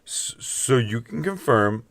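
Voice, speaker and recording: A man's voice: mid-range voice, animated, takes it slowly, a bit bright, no room sound. A man playing a nervous, eager rookie henchman who talks casually with a hesitant stammer in a comedic radio play. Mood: incredulous, uneasy. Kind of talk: comedic radio theatre acting.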